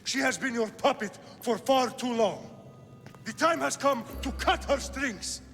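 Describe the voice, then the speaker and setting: An actor playing a bold, scornful warrior king in a video game, declaiming forcefully in a reverberant hall. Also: very high voice, unhurried, heavily acted. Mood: defiant anger. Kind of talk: dramatic video game cutscene acting. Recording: long hall reverb, music behind.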